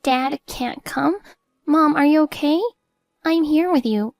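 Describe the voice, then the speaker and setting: A gentle nine-year-old boy, voiced high above the narrator's own pitch and drawn out slowly, as a woman puts him on. The tone is soft and tender, recorded dry and close. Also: no echo. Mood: comforting.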